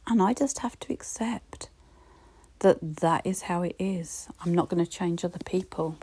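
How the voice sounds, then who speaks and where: A woman with a British accent giving a reflective solo podcast talk, gently encouraging and speaking straight to the listener. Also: lowish voice, moderate pace, husky. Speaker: podcast host.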